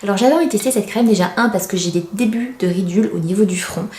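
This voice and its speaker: Woman, thirties, beauty vlogger, warm dark tone, casual chatty review, self-deprecating, roomy echoing sound. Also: medium pitch, quick pace. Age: 30s. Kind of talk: casual product review to camera. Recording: roomy, echoey indoor sound.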